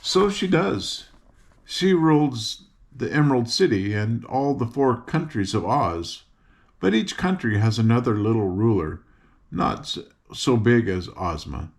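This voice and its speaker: A man's voice: medium pitch, very animated, a little slow, creaky. An older man voicing a cheerful young farm girl who patiently explains things as she guides her friends. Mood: chatty.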